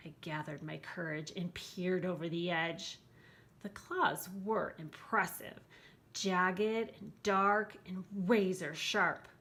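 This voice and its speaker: A woman with a mid-pitched voice reading aloud for children in a bedtime storybook style. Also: steady tone, slow pace, fairly bright tone. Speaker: school staff reading aloud.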